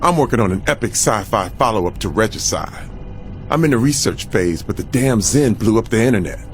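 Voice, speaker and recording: A man's voice: lowish voice, expressive, brisk, music in the background. A man acting a streetwise ex-gangster turned novelist in a video game, self-assured, casual and slangy.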